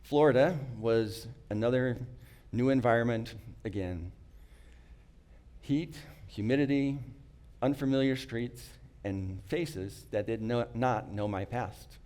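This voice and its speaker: Slow, earnest man telling his life story. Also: mid-range voice, darkish tone. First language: not English. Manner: earnest, reflective, pauses and restarts, clears his throat often. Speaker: speaker sharing a personal story. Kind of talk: prepared personal story told to an audience.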